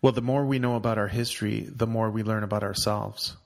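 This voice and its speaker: A man's voice: on the low side, steady tone, a little fast, a little hiss or hum. A man hosting a podcast, enthusiastically introducing and questioning his guest. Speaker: podcast host. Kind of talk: podcast interview.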